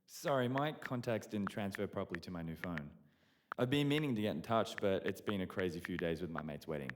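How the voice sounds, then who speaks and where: An actor playing a charming, polite and apologetic young suitor in a naturalistic audio drama. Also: male voice, deep voice, expressive, a little fast. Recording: clearly noisy background.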